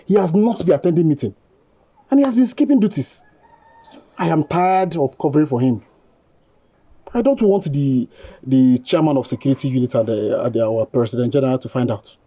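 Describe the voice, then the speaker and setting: A man's voice: on the high side, warm tone. A man playing a fed-up security officer who complains emphatically.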